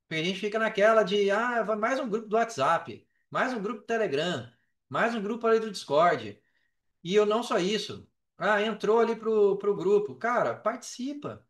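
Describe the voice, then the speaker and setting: A Brazilian man speaking as a podcast guest, giving casual advice in an informal, slangy, emphatic way with repeated phrases. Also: sits high. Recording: roomy echo, distant from the mic.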